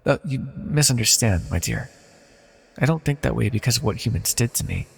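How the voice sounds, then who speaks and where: Man playing a gravelly, echoing banished villain god. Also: mid-range voice, expressive, exaggerated voice. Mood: wistful, guarded. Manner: slow, formal, measured, confessional. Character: banished male villain deity, ancient, proud, wistful, tenderly smitten. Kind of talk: scripted fantasy audio roleplay, intimate monologue. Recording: long reverberant hall echo.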